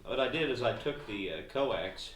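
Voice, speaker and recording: A man's voice: mid-range voice, lively intonation, normal speed, a bit bright. Folksy tongue-in-cheek man, noisy workshop recording. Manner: folksy, tongue-in-cheek with mock-serious asides. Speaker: host of a car repair video channel. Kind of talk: casual DIY vlog narration while working. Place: garage workshop.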